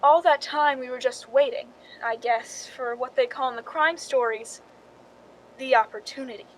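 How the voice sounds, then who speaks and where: A woman's voice: sits high, moderate pace, slight background noise, close to an everyday voice, low-quality recording. An actress playing a wry, knowing film stand-in who confides her story in a radio drama, in an understated way. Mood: confiding, wry. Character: wry, cool young woman, film stand-in and scheming narrator. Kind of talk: radio drama acting with confessional narration.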